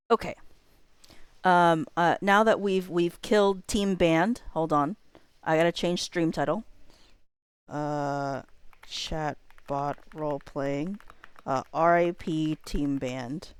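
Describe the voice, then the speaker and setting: A woman's voice: low voice, slow, pauses often, smooth, no echo. A woman hosting a livestream, chatting casually to viewers in a joking way with wandering asides. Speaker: livestream host.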